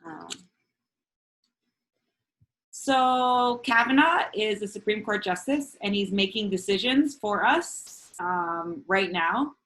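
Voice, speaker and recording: A woman whose first language is Spanish, reading her own poetry to an online open mic. Her delivery is measured and earnest, loosening into casual chat between poems, and her voice sits at an ordinary middle pitch. She is heard through a video-call microphone at home. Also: fairly bright tone, poor audio. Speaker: featured poet.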